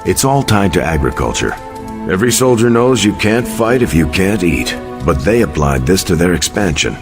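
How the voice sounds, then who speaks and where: An actor playing an earnest, thoughtful veteran soldier superhero in a video game, speaking in measured declarations. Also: male voice, low voice, sing-song, music in the background. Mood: earnest, eager.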